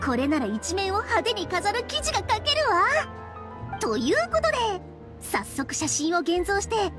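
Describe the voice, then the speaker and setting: A woman's voice: very high-pitched, sing-song, fairly quick. A woman playing a keen, self-assured young female reporter in an anime-style video game, with a breezy manner. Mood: eager. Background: jingly game music.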